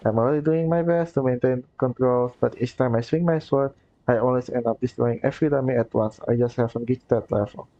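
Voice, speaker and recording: A man's voice: mid-range voice, brisk, warm tone, dry recording, natural voice. A man reading aloud the lines of a polite, earnest young female knight-maid in his own voice, with small stumbles. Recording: dull, lo-fi recording.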